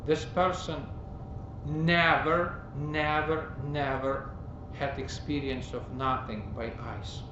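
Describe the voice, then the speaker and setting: A man teaches a sermon at a very slow, deliberate pace, stopping often and repeating words to drive a point home. His voice sits at a middle pitch and carries the echo of a large church. The recording is dull and muffled, with steady background noise. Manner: slow, halting, with many pauses and fillers. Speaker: priest. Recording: roomy, dull, noisy recording.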